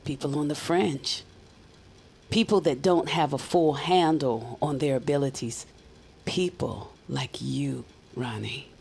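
An actress playing a weary, ominous woman mystic in audio fiction, grave and deliberate, often hushed to a whisper. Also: very deep voice, gravelly, slightly rough, heavily acted. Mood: grave, wistful.

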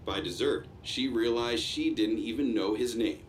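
A man's voice: mid-range voice, moderate pace, a little hiss or hum, close to an everyday voice. An actor playing a grave male true-crime TV narrator, delivering sensational scripted narration. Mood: ominous.

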